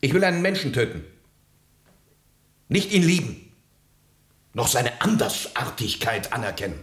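An actor playing a hard, bitter revolutionary and former prisoner in a German radio play, cutting and severe in delivery. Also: male voice, mid-range voice, very animated, measured pace, a bit bright. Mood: harsh, fierce. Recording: roomy, echoing studio sound.

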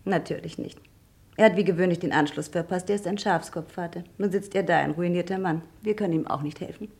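An actress playing a practical grown daughter in a vintage radio crime play, dry and unruffled. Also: female voice, on the low side, fast, darkish tone, natural voice. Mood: dry, cool. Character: cool, practical grown daughter managing family affairs. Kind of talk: vintage German radio crime drama acting.

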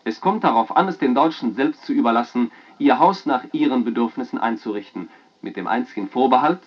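A man's voice: mid-range voice, animated, a little fast. A man playing a formal 1940s radio announcer reading press commentary through an old radio filter. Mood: emphatic, formal. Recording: dull band-limited old radio sound.

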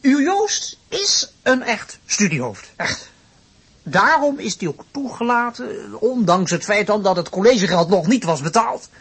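A man playing a smooth-talking young student conman who poses as a professor, glib and hesitating, in a radio play. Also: high voice, very animated, measured pace, fairly bright tone, full character voice. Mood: glib, deferential. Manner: glib, hesitating, mock-deferential. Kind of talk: Dutch radio play acting. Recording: clearly noisy radio play recording.

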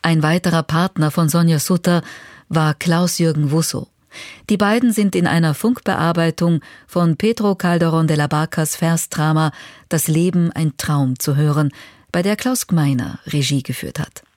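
A woman presenting a radio magazine with measured, informative scripted narration. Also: deep voice, a little mellow, no echo, studio quality.

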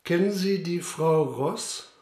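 Sceptical small-town police officer, radio drama; fairly high, slightly husky voice, official and measured. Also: male voice, a little mellow. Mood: reserved, official. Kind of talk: German radio drama acting and narration.

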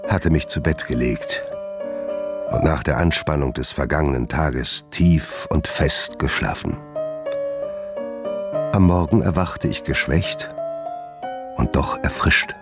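An actor playing a haunted gentleman doctor, narrating his confession in a radio drama in measured, literary phrases. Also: a bass voice, exaggerated voice. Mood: grave confession. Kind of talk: scripted first-person radio drama narration. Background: music.

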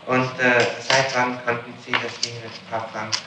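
A man formally questioning someone as an interrogator, probing and deliberate, on an old 1960s tape. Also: mid-range voice, little pitch movement, reverberant, far from the mic, very noisy.

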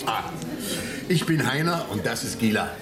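A man's voice: medium pitch, expressive, moderate pace, music in the background, natural voice. An actor playing a chatty, complaining male tourist in a radio drama. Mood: jovial, chatty. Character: grumbling, convivial male tourist.

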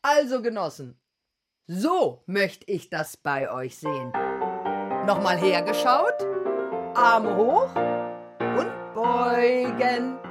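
A woman's voice: fairly high pitch, big pitch swings, slow, pauses often. An actress playing a commanding party exercise instructor in her thirties in a radio drama, exhorting and drawing out her counts. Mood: brisk, commanding. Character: 39-year-old drillmaster woman leading compulsory party exercises. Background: piano music.

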